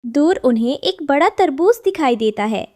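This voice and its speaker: A woman's voice: high-pitched, animated, moderate pace, darkish tone, no room sound, studio quality. A woman narrating a scripted children's story as the storyteller.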